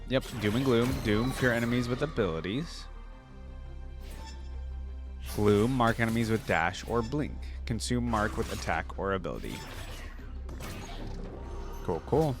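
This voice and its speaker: Low-voiced man casually reacting, chatty. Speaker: reaction video host.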